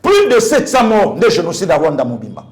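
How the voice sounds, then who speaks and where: A man's voice: high-pitched, huge pitch swings, a little fast. A man with a Congolese accent giving impassioned political commentary as a guest pastor, emphatic, repeating phrases and asking rhetorical questions.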